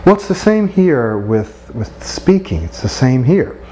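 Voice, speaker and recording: Middle-aged guy coaching, earnest and encouraging, kind of dark mellow voice, average pitch. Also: very animated, measured pace, slight background noise, poor audio. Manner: earnest and encouraging, frequent rhetorical "right?". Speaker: speech coach. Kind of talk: instructional talk.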